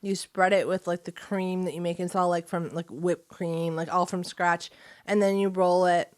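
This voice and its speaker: A woman's voice: on the low side, fairly even intonation, moderate pace, no room sound. An American woman co-hosting a podcast, chatting casually in an informal, giggly way. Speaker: podcast co-host. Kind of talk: casual chat.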